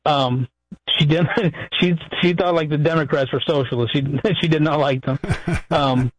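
A man's voice: on the high side, steady tone, normal speed, a little hiss or hum. A man calling in to a radio show, chatting casually over a muffled lo-fi call-in line.

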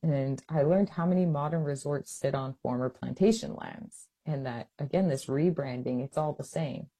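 A young adult woman from Hawaii, an author, chatting in an interview; she is animated, laughs often and uses lots of fillers. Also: low-pitched, normal speed, husky. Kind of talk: interview conversation.

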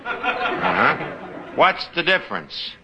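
An actor playing a brash, wisecracking middle-aged tavern manager in a 1950s radio comedy, with mangled grammar and snappy timing. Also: male voice, fairly high pitch, huge pitch swings, slow, lo-fi sound, crowd noise, heavily acted. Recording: old radio broadcast with loud hiss.

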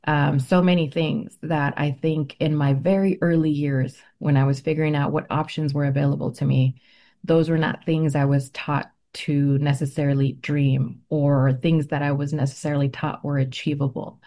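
Middle-aged female educator, native Spanish speaker, low relaxed voice, earnest and articulate in interview. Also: moderate pace. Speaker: ethnic studies educator, interview guest. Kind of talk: reflective interview answers.

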